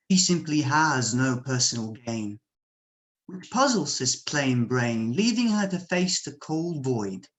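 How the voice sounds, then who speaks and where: A man from Bosnia whose first language is Serbian, reading his poetry slowly and deliberately at an online open mic over a home computer microphone. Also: mid-range voice. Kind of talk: slow measured poetry reading.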